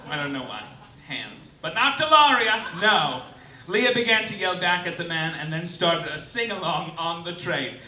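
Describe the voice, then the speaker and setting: A man's voice: high voice, lively intonation, normal speed, bright, forceful tone. A man performing a satirical stage monologue as host, with an arch, campy, theatrical delivery of mock official announcements. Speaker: comedian, host of a live comedy show. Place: live stage in a club before an audience. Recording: roomy, distant live recording with noise.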